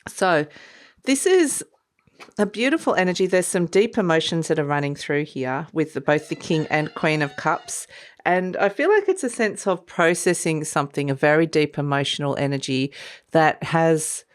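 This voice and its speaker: A woman doing a casual tarot reading, croaky and a bit congested from a cold, talking gently and rambling with soft laughs. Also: low voice, sing-song, moderate pace. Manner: gentle, meandering, laughs softly between thoughts. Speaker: tarot reader.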